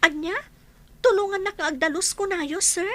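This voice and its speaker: A woman playing a young, excitable housemaid and devoted daughter in an Ilocano radio drama, respectful, anxious and full of questioning exclamations. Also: extremely high pitch, normal speed, dry recording. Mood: surprised.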